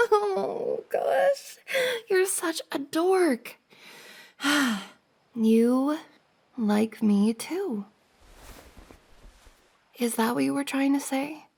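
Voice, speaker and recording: A woman playing a giggly, teasing young woman confessing to her crush in an intimate ASMR roleplay, with a creaky voice. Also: sits high, big pitch swings, drawn-out words, pauses often, a little mellow, exaggerated voice. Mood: teasing, tender. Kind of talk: intimate ASMR roleplay, acted as one side of a conversation.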